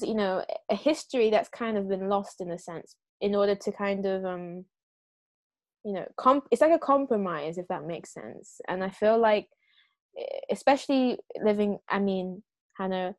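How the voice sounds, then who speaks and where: A woman hosting a casual discussion, thinking aloud with many fillers and pauses. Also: medium pitch, darkish tone, dry recording. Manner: hesitant, searching, many fillers. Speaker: podcast host.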